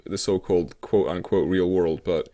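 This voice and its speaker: A man co-hosting a casual internet talk show, commenting in a halting way as he searches for his words. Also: low voice, fairly even intonation, mellow.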